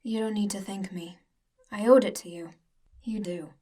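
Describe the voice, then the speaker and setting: A woman voicing a calm, gracious young female student in a comic dub. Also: medium pitch, moderate pace, distant mic, close to an everyday voice. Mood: gentle.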